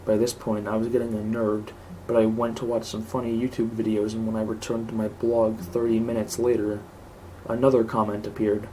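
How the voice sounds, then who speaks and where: A man's voice: on the low side, monotone, fairly quick, mellow. A man reading a scripted horror story aloud as narrator. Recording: clearly noisy home recording.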